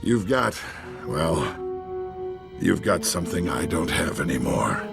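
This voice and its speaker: A gruff old marshal in a sci-fi game. He speaks low and plainly, with weary honor, while music plays clearly behind him. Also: lively intonation, unhurried, heavily acted. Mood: weary, conceding. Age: older. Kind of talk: dramatic video game voice acting.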